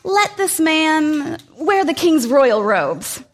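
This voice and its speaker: A woman's voice: high-pitched, big pitch swings, slow pace, a little hiss or hum, pitched above the performer's own voice, heavily acted. A woman playing a smug, scheming male minister. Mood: grandiose. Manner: resentful, then smug and gloating.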